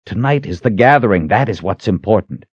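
A man's voice: fairly low pitch, lively intonation, a little fast, slightly rough, no echo, muffled recording, pitched above the performer's own voice. A man voicing a cold, defiant fantasy hero in an audiobook, taunting and intense. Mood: urgent resolve. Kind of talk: dramatic audiobook character acting.

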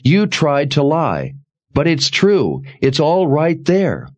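A man's voice: a little high, huge pitch swings, slow pace, voice pushed up, exaggerated voice. A man voicing a frightened, accusing young woman in a fantasy audiobook, raised and strained. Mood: urgent. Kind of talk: audiobook character reading.

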